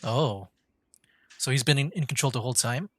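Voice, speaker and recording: A man's voice: mid-range voice, moderate pace, no echo, clean studio sound. A man live-streaming a video game, giving casual reactions and commentary as the story plays.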